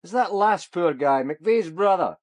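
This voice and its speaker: A man's voice: high-pitched, lively intonation, measured pace. A reflective male police detective off duty in a radio drama. He talks in a natural, conversational way, musing and a little world-weary. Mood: troubled, reflective.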